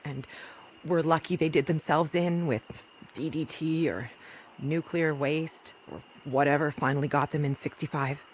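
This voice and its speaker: Tired blunt doctor lady, low voice, post-apocalyptic podcast drama, bit of background noise. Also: poor audio. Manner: blunt, sardonic, swears. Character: weary, principled rural doctor and mother in post-apocalyptic wilds. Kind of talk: naturalistic audio drama acting.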